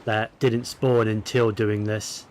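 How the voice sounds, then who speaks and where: A man giving casual gameplay commentary, musing to himself in a low-key way. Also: level tone, slow pace. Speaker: gaming streamer. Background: faint game music.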